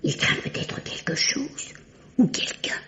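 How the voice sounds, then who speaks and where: An actress playing a timid, curious, wistful spinster with a meek, questioning manner in an old French radio mystery. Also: female voice, unhurried, sharp tone, in a whisper. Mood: anxious, curious. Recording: echoey, distant, dull vintage radio recording.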